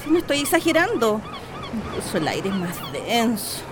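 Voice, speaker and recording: An actress playing a fussy, complaining wife in a radio drama, with a Chilean accent and an exasperated, grumbling manner. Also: female voice, a little high, huge pitch swings, normal speed. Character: fussy, complaining, easily worried well-to-do wife Inés. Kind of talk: Spanish-language radio drama acting. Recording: noisy outdoor ambience with bird calls.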